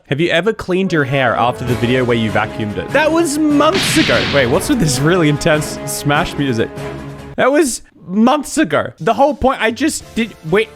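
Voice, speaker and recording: A man's voice: on the high side, big pitch swings, moderate pace, music in the background. An Australian man hosting a livestream, chatting casually and jokily with viewers in a rambling, self-interrupting way. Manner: jokey, rambling, self-interrupting, often laughing. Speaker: YouTuber and livestream host. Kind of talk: casual chat answering viewer comments.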